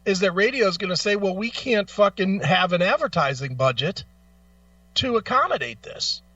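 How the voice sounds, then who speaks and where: A man's voice: high voice, lively intonation, moderate pace, bright, forceful tone, dry recording, distant mic, dull audio. A man co-hosting a casual radio talk show, arguing his opinions bluntly and with plenty of swearing. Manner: blunt, profane, argumentative.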